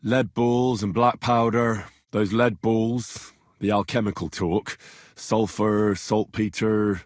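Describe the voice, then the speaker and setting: A man's voice: lowish voice, steady tone, slow, no room sound, clean studio sound. A man playing a young, plain-spoken medieval adventurer in a video game, wry, earnest and easily exasperated. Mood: earnest, conversational. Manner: earnest, blunt, exasperated, conversational. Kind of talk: video game dialogue acting.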